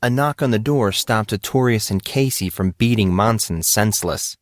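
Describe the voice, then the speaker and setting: A man's voice: fairly low pitch, normal speed, dry recording, clean studio sound. A man reading a scripted story as its narrator.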